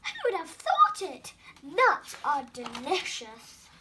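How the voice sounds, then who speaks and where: A young female reader voicing a polite, wistful travelling bird in a storybook, gently regretful. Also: high voice, wildly swooping pitch, takes it slowly, background noise, full character voice. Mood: polite, surprised.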